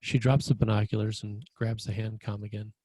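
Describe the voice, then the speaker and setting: A man's voice: lowish voice, flat delivery, brisk, mellow, slightly rough, no room sound. A man reading screenplay stage directions as narrator, in a plain, descriptive manner.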